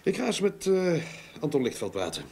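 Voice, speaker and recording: An actor playing a self-assured, dry investigator with an authoritative manner in an old radio drama. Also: male voice, a little high, huge pitch swings, brisk, vocal fry, darkish tone, slightly rough, exaggerated voice. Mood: affectionate, casual. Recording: noisy old radio play recording.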